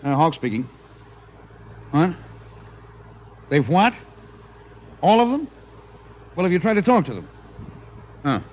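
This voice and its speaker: Stern, irritable office chief; curt 1950s radio delivery, muffled with faint noise. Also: male voice, a little high, very animated, slow, pauses often. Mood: flustered, exasperated. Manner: brusque, scolding. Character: impatient, irritable male bureau chief and stern boss. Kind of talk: 1950s radio drama acting. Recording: muffled, noisy vintage radio recording.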